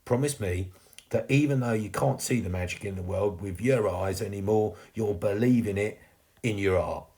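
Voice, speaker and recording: A man's voice: on the low side, normal speed, darkish tone, close to an everyday voice. A man playing a cheeky ghost boy. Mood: gentle, earnest. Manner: colloquial, chirpy, teasing. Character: cheeky young ghost chimney sweep boy, warm-hearted cockney.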